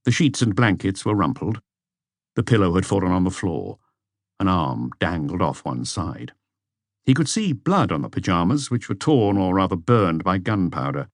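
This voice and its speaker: A man's voice: sits low, very animated, normal speed, no room sound, studio quality. A man reading scripted mystery fiction as an audiobook narrator.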